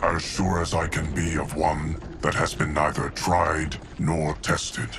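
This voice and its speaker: Very deep, slow, solemn ancient guardian. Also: male voice, flat delivery, background noise. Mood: solemn, loyal. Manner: measured, formal, stately. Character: Geras, ancient male guardian of time, solemn, loyal, steadfast.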